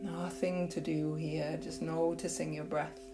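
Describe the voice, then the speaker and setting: Slow, low-voiced woman guiding meditation. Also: little pitch movement, very noisy. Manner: unhurried, gentle, with long pauses. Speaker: yoga nidra teacher. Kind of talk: guided meditation, slow soothing narration.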